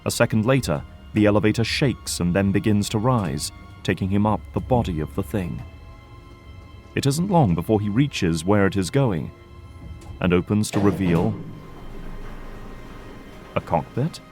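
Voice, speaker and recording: A man narrates an action audio drama from a script. His voice is fairly low, and soft music plays behind him. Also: lively intonation, measured pace, long pauses.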